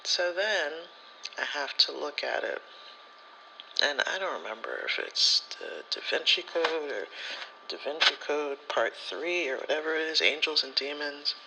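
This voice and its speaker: A man speaks with a very bright, crisp-edged voice that often drops into creaky fry. His pitch moves around in a lively way as he rambles through casual ASMR stories in slangy, profane language. There is faint noise behind him. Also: unhurried, poor audio. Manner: profane, slangy, rambling storyteller who acts out quoted dialogue. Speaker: spiritual content creator.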